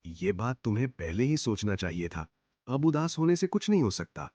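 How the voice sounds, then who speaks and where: A man's voice: mid-range voice, very animated, moderate pace, darkish tone, no echo. A man voicing a greedy, cunning village moneylender in a cartoon story, with a smug and sly way of talking. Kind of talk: Hindi cartoon story voice acting.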